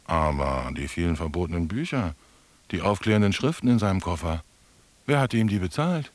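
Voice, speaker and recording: An actor playing an ironic, reminiscing friend who narrates a literary radio drama with wry questions. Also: male voice, low-pitched, animated, a little fast, no echo.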